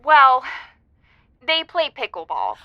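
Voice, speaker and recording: A woman's voice: high voice, wildly swooping pitch, slow, creaky, no echo, dull audio, heavily acted. A woman playing a chirpy, aggrieved married meteorologist who calls a radio show in an improvised audio comedy, gushing and hesitant. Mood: baffled, aggrieved. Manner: gushing, giggly, hesitant phrasing.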